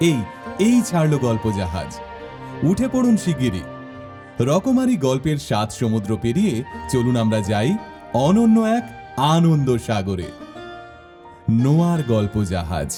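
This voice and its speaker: Animated male story-show announcer over music. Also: medium pitch, unhurried, long pauses. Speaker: story podcast intro announcer. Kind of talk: theatrical scripted show introduction. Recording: music bed under the voice.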